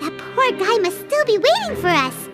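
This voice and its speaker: Tiny bouncy flying sidekick girl, very high and sing-song, game music loud behind. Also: sing-song, moderate pace. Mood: indignant. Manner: speaks of herself in third person, bouncy. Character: small chirpy female flying companion.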